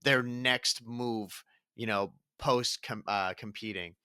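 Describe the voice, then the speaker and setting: Bright-voiced guy getting over a cold. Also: mid-range voice, fairly even intonation, takes it slowly, clean tone, no echo, clean studio sound. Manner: laid-back, chuckling, says "bro". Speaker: podcast co-host. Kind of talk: casual podcast chat.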